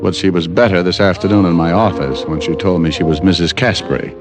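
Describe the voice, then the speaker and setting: An actor playing a hard-boiled private detective who narrates with deadpan wisecracks in a 1950s radio drama. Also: male voice, low-pitched, quick pace. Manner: wisecracking, deadpan tough-guy patter. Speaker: narrator and lead. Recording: old radio recording with band music.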